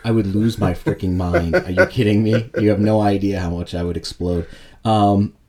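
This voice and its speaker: A man co-hosting a podcast, chatting casually and telling jokey, animated stories. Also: on the low side, normal speed. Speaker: podcast co-host. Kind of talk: casual chat.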